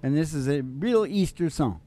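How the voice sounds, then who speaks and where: A man's voice: a little high, animated, moderate pace, dark tone, soft background music. An older man hosting a radio show, telling stories comically in a rambling, folksy, irreverent way. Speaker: radio show host and singing guitarist. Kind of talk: comic storytelling and banter.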